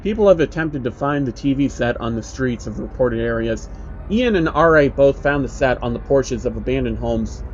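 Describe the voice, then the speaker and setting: A man narrating a scripted horror story in a flat, measured reading voice. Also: medium pitch, muffled recording, slight background noise. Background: faint uneasy piano music.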